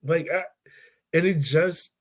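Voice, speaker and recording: A man hosting a casual football podcast, talking in a relaxed, chatty way and laughing easily. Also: a little high, takes it slowly. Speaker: podcast host. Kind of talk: casual sports chat.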